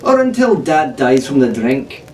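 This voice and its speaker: A man's voice: mid-range voice, very animated, a little slow, darkish tone, far from the mic. In a roomy, echoing space with faint noise, a man acts out a strong-willed teenage tavern girl from a storybook. She knows her legends and delivers them earnestly and firmly. The voice comes from slightly off the mic. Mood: sad, bitter.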